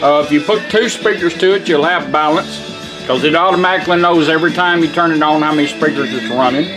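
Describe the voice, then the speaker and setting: Male American product demonstrator, slightly high voice, folksy and plainspoken, guitar music playing behind. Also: fast talker, rough recording. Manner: plainspoken, folksy step-by-step instruction. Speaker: product demonstrator for a radio restoration shop. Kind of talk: hands-on product demonstration, casual. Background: guitar music from a radio.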